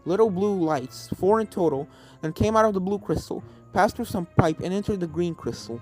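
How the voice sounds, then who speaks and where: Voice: medium pitch, a little fast, a little mellow, low-quality recording. Storybook narrator over game music. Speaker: fan fiction narrator. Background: video game background music.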